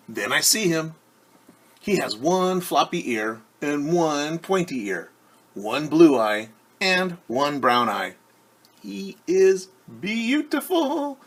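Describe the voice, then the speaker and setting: A man teacher reading a picture book aloud to children in an expressive, deliberate way, with wondering asides. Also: on the high side. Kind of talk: storytime read-aloud with commentary for children.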